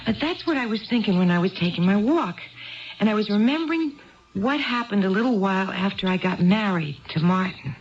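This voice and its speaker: A woman's voice: medium pitch, lively intonation, a little fast, close to an everyday voice. An actress as an earnest, rambling woman, dull old recording. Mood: reflective. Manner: wistful, digressive, repeats herself. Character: earnest, rambling, tipsy married woman confiding in a bartender. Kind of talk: 1970s radio drama acting. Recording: dull lo-fi 1970s radio broadcast.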